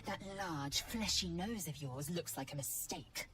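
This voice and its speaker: A woman plays a disdainful alien warrior from a fantasy video game. Her voice is very low for a woman, her delivery clipped and formal. Loud music plays behind her. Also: a little slow, close to an everyday voice. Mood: cold disdain. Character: haughty, blunt alien Githyanki warrior woman.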